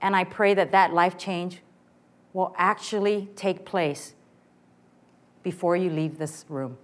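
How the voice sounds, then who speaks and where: A woman in her forties with a loud voice, giving a lively, joking inspirational talk to a women's morning gathering. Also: lowish voice, slow pace. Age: 40s. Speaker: guest speaker.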